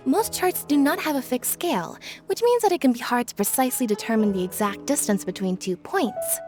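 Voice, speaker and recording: An actress playing a proud, chatty young ship's navigator in a video game, explaining things with eager enthusiasm. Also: female voice, a little high. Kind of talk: scripted video game dialogue.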